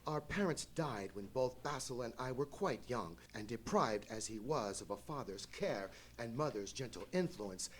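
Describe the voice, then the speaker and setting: A man playing an anxious, courteous English lord in a live radio play, with a husky voice and formal, florid speech. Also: medium pitch, animated, normal speed, few pauses, a little hiss or hum, close to an everyday voice. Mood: apologetic. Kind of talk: live old-time radio play acting.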